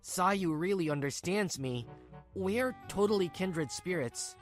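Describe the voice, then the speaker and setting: A man's voice: high voice, lively intonation, slow. Lovestruck, self-centred young husband played by a man, whiny and earnest manga-dub dialogue, faint music underneath. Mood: smug, dismissive. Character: dreamy, selfish younger husband, lovestruck and pleading.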